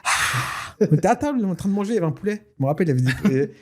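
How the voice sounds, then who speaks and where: A man whose first language is Tashelhit, telling a personal story in a casual, lively way with many fillers and restarts. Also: sits high, rapid-fire. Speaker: guest telling his story. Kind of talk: casual spoken testimony.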